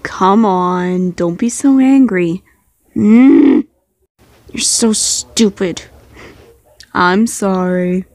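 A woman acts out a cool young man from a romantic comic dub, pitched down well below her own talk. He is calm and teasing, with a deadpan, unhurried delivery and very little room sound. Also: dry recording. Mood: teasing, soothing.

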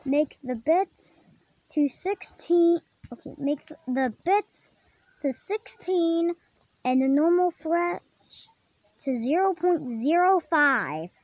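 A very high-pitched voice hosts a casual software tutorial at a slow pace. The delivery is halting, with phrases often repeated and reworded. The recording is dull and lo-fi. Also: lively intonation, no room sound. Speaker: tutorial host. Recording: dull lo-fi microphone, clearly noisy.

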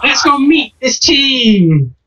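A young woman plays a mock game-show host over voice chat, giggly and loose with the rules. Her voice sits a little high. She sounds distant from the mic. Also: sing-song, slow pace, fairly bright tone, lo-fi sound. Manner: giggly, loses track of the rules.

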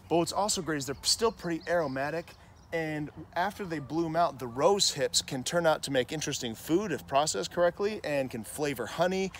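Man in his thirties, slightly high voice, outdoors, on-camera mic, faint outdoor hiss, eager nature-walk chatter. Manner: enthusiastic, chatty, pointing out plants. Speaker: gardening vlogger. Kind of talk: walking nature vlog. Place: outdoor trail in a nature preserve. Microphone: handheld camera framing, on-camera mic.

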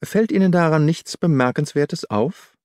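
A man's voice: on the high side, moderate pace, darkish tone, clear, resonant tone, no room sound, studio quality, close to an everyday voice. A man voicing a shrewd, methodical detective in an audiobook, reasoning in a precise, self-assured way.

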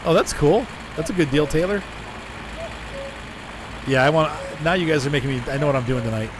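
A hoarse man chatting casually as he streams a driving game, rambling and answering his chat. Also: fairly high pitch, a little fast. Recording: noisy game sound behind.

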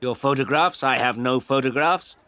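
A man's voice: medium pitch, moderate pace, pushed and bright, dry recording, pitched above the performer's own voice, close to an everyday voice. Man voicing a curt, unwelcoming woman. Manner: brusque, dismissive.

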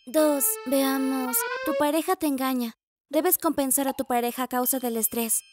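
A woman performs a cute teenage anime girl with a tiny, extremely high voice. She sounds girlish, polite and easily excited. Clear music runs behind the dialogue. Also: normal speed, natural voice. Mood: hesitant, polite. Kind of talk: anime fan dub acting.

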